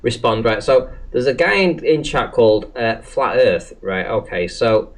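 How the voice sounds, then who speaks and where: A man hosting a casual online panel chat, animated and swearing freely, filling his talk with "you know". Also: medium pitch, unhurried. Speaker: panel host and chat moderator.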